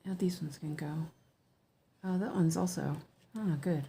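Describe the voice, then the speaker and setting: Slow, low-voiced woman, slightly hoarse, chatty and rambling, casual home stitch-along host. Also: mellow, a little hiss or hum. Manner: chatty, rambling, many ums and self-corrections. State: hoarse from allergies. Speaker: cross-stitch streamer.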